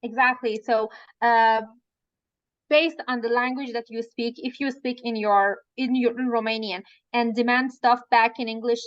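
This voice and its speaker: A woman's voice: on the high side, fairly even intonation, moderate pace, no echo, dull audio. A woman whose first language is Farsi, coaching a live online training and explaining at length with frequent fillers.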